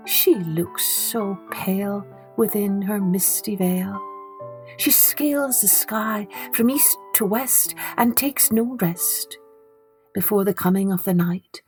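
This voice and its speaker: A woman reading poems and stories aloud for children in an unhurried, dramatic storytelling style, with phrases that trail softly away. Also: medium pitch, faint music behind. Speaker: children's storytelling podcast host and reader.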